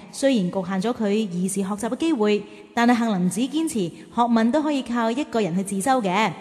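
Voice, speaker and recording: A woman playing a caring, gently chiding big-sister teacher who hosts a children's radio drama. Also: mid-range voice, slightly rough, no room sound, natural voice. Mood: explanatory. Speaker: host and teacher.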